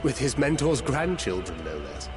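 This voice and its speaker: A thoughtful adventurer played by a man talks in a measured, reflective way. The voice sits at middle pitch, with game music behind it. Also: sing-song, lightly acted. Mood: nostalgic. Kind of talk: video game cutscene acting.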